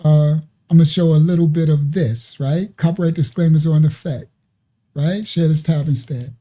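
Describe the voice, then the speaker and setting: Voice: mid-range voice, fairly even intonation, moderate pace, warm tone. An online ministry host giving loose, casual commentary over video clips, often tagging "right?" onto sentences. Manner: talks loosely, with repeated "right?" and "you understand?" asides.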